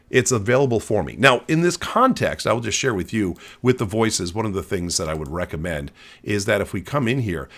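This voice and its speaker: A man presenting a casual, conversational software walkthrough, explaining as he goes. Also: lowish voice. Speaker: tech tutorial presenter. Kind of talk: casual screen-share walkthrough.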